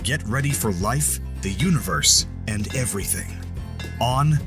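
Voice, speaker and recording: A man reading a scripted show intro in a wry, drawn-out way. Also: medium pitch, animated. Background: guitar music.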